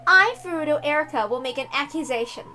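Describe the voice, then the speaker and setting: An imperious young girl detective from a visual novel. Her voice is piercingly high and bright, swinging widely as she gleefully gives orders like a theatrical little tyrant. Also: animated, fast talker, faint music behind. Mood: accusing. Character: bossy, gleeful young girl detective making a grand accusation. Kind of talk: animated visual novel character reading.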